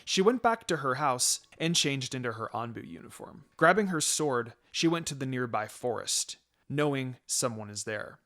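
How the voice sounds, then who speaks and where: A man reads fan fiction aloud in a storytelling narration. His voice sits at a middle pitch as he delivers scripted prose. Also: animated, normal speed, a bit bright.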